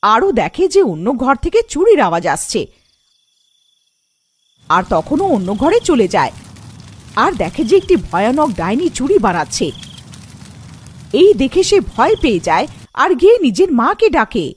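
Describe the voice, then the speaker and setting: A woman's voice: high-pitched, big pitch swings, normal speed, pauses often, a little hiss or hum. A woman narrating a scripted cartoon story.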